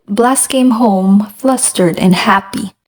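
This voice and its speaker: A woman's voice: medium pitch, takes it slowly. A woman giving scripted narration as the storyteller of a radio drama. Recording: music behind the voice.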